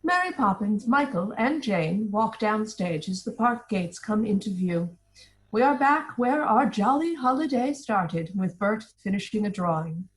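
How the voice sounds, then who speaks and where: A woman's voice: medium pitch, expressive, measured pace, poor audio. A woman reading stage directions as narrator in an amateur script read-through.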